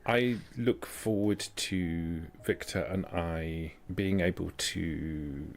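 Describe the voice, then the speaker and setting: Man playing a measured, low-voiced vampire noblewoman. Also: gravelly, exaggerated voice. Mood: formal, guarded. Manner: measured, formal, careful wording. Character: formal, guarded vampire woman negotiating a blood pact.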